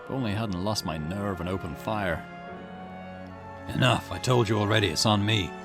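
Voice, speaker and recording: Serious male detective played by a male reader, low pitch, deliberate lines over clear music. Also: close to an everyday voice. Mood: grim, regretful. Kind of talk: visual novel dialogue read aloud. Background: game music.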